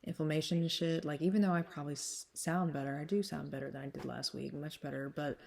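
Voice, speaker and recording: A woman with a smoky, slightly hoarse voice, still getting over a cold, chatting casually to her followers with lots of fillers and frequent laughs. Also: deep voice, fairly even intonation, fast, a little mellow. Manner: chatty, full of fillers, laughs often, drifts back and forth. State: recovering from a cold, sinus congestion. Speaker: vlogger. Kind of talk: casual rambling chat to followers.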